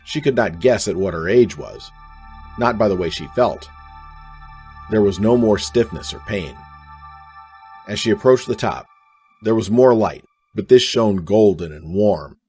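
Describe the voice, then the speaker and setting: Low-voiced man narrating a radio drama. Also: animated, measured pace, long pauses, darkish tone, soft background music.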